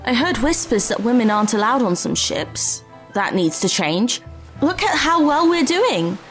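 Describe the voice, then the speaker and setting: An actress playing a plucky young adventurer in an audio drama, inquisitive and upbeat. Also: female voice, on the high side, sing-song, a little slow, soft background music. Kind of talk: full-cast audio drama acting.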